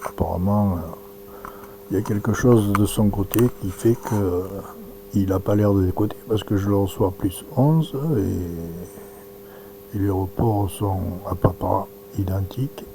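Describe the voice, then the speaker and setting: A man giving an informal live demonstration, talking haltingly as he thinks aloud through each step. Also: sits low, creaky, noisy background. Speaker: presenter demonstrating amateur radio software.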